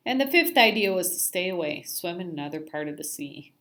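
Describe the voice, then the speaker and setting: A woman reading a children's picture book aloud in an expressive storytelling style. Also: lowish voice, brisk.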